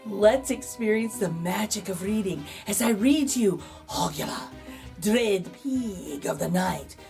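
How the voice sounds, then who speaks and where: A woman's voice: mid-range voice, lively intonation, takes it slowly, gravelly, a little mellow, soft background music. A woman narrating a children's picture book aloud as host, with theatrical, suspenseful emphasis. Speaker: storybook reader, host.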